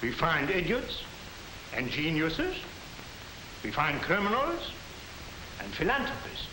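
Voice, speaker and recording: An actor in a 1940s educational film playing a calm lecturer, speaking in a measured, didactic way. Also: male voice, a little high, exaggerated voice. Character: calm man lecturing against racial myths. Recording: hissy 1940s film soundtrack.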